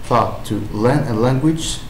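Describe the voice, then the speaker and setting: An Israeli man whose first language is Hebrew gives language-learning advice in English, pausing often with "uh" and restarting his sentences. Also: medium pitch. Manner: hesitant, many "uh" fillers and restarts. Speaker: language-learning video maker. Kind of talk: unscripted advice talk to camera. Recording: echoey room with faint noise.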